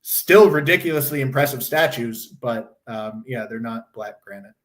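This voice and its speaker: A young man chats casually on his livestream, rambling from point to point. His voice is hoarse and going from a sore throat. He is blunt and swears without a second thought, at an ordinary middle pitch. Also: normal speed, a bit bright. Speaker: livestream host.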